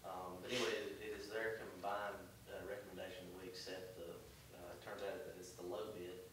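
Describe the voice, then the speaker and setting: Deep-voiced man chairing meeting. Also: flat delivery. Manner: halting, many ums and uhs. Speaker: mayor chairing a council meeting. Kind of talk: running a meeting agenda. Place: council meeting room. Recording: echoey room from far off, noisy.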